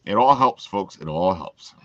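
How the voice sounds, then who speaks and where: A man's voice: low-pitched, animated, a little slow, fairly bright tone, dry recording, highs cut off. A man hosting a casual promotional chat, talking in a folksy way and repeating phrases for emphasis. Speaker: show host.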